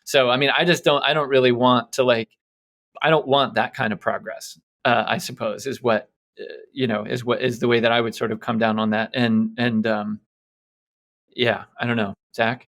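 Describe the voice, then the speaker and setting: A man with a medium-pitched voice hosts a casual intellectual podcast. He speaks in a halting, tentative way, restarting as he works toward his point. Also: level tone, a bit bright. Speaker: podcast host.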